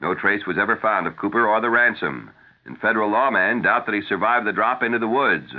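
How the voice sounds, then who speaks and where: A man reading scripted news and ad copy as a radio announcer, in a measured, formal style. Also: on the low side, animated. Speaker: radio newscaster. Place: radio studio. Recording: tinny, narrow-band 1970s radio broadcast.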